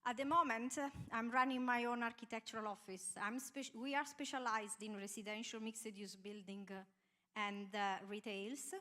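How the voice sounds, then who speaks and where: A woman's voice: on the high side, steady tone, normal speed, pushed and bright. A woman in her thirties from Italy, with an Italian accent, introducing herself as an architect on a conference panel, speaking earnestly with many hesitations into a handheld mic. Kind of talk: panel self-introduction on stage. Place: large conference hall stage. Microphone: handheld microphone.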